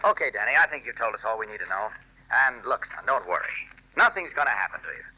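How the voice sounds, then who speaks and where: Reporter: reassuring but probing, fast delivery, very bright forceful tone, vintage radio drama. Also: male voice, on the low side, sing-song, slightly rough, exaggerated voice, rough recording. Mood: calm, probing. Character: calm, kindly, assured adult male newspaper reporter. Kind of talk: 1940s radio acting.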